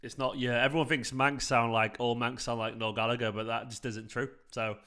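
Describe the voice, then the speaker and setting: A young man with a South Manchester accent talks loosely and at length to his viewers. His voice is bright and forward, and he wanders from thought to thought in casual chat. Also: medium pitch, level tone, a little fast, clear, resonant tone. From South Manchester, England. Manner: chatty, rambling, talks to viewers. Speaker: fan channel host. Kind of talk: live football watchalong chat.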